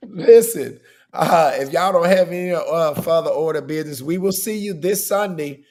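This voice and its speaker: A man hosting a podcast in a casual, chatty way. His voice is hoarse and worn, and he keeps checking in with "okay?". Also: a little high, unhurried, a little mellow. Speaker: podcast host.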